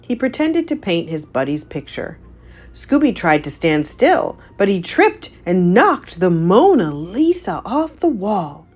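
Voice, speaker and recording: A woman narrating a children's storybook aloud in an animated, scripted read-aloud style. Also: mid-range voice, unhurried, slightly rough.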